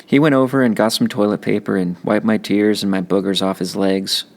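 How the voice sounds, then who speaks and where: A man's voice: on the low side, fairly even intonation, fairly quick. A man reading a children's novel aloud as scripted audiobook narration. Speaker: audiobook reader.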